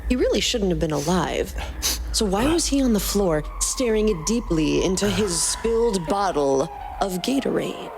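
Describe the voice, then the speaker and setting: Animated woman narrating a fiction podcast. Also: medium pitch, moderate pace, creaky, background noise. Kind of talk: scripted story narration and podcast banter.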